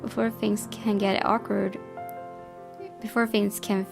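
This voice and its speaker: A woman's voice: mid-range voice, level tone, a little slow, vocal fry. A woman reading a visual novel aloud as a gaming host, dramatic in delivery and sometimes stumbling, over guitar music. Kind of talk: expressive read-aloud narration of visual novel.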